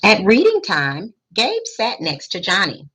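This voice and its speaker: A woman reading a picture book aloud expressively, pausing in a teacherly way to put questions to young listeners. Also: mid-range voice, wildly swooping pitch, noisy background. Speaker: school principal reading aloud to children.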